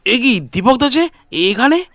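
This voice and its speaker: A man's voice: extremely high pitch, big pitch swings, takes it slowly, pushed and bright, soft background music, pitched above the performer's own voice, heavily acted. A man voicing an earnest, discontented young nephew in his twenties in an audio story, with a firm, protesting manner. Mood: uneasy, displeased. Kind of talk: audio story character dialogue.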